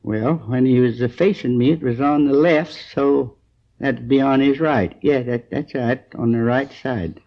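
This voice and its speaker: A gravelly old American man in his sixties played by a radio actor, a hurt and drowsy storekeeper. He rambles in a halting, folksy, earnest way, on a dull 1950s crime drama recording. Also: medium pitch, natural voice. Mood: straining to recall. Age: 60s. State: groggy, in pain.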